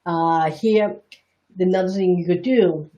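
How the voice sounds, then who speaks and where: A woman's voice: lowish voice, unhurried, mellow. A middle-aged woman with a Chinese Bostonian accent, teaching reflexology on a livestream in a chatty, candid way, breaking off and restarting her sentences.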